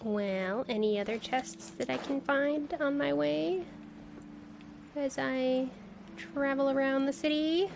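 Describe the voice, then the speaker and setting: A woman's voice: sits high, slow, creaky, background noise. A woman giving casual livestream commentary as she plays a video game, with playful exclamations and quaint interjections. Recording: game music behind the voice.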